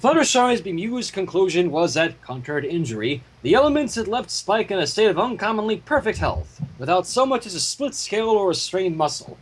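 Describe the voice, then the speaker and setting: A man with a husky voice reading a story aloud as narrator, in scripted prose. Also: sits high, sing-song, a little fast, few pauses, low-quality recording. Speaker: narrator and fan fiction reader.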